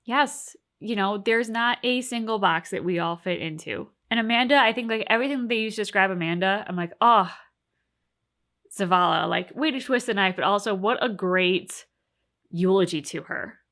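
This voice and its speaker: Woman co-host chatting, bright clear-cut tone, earnest, thinking out loud, says like a lot. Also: medium pitch, moderate pace. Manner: earnest, talkative, peppers speech with like and right; swears casually. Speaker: co-host of a reaction channel. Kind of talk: casual reflective discussion.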